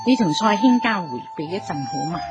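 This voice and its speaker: An actress playing a commanding yet compassionate empress dowager in a Cantonese radio drama, formal and deliberate in manner. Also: female voice, medium pitch, expressive, dull audio, close to an everyday voice. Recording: music behind the voice.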